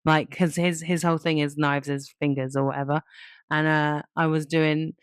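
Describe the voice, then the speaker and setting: An English woman co-hosting a relaxed, informal podcast conversation. Her voice is low for a woman. The recording is close and dry, with hardly any room around it. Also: normal speed, smooth, no echo. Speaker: podcast co-host. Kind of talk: casual chat.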